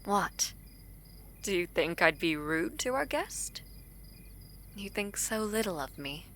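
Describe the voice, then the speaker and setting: A woman playing a guarded, proud princess in a fantasy audio drama, speaking formally and deliberately with breathy phrase endings. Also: mid-range voice, lively intonation, fairly bright tone, a little hiss or hum. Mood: defensive, cool. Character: guarded, regal princess, a proud and protective sister.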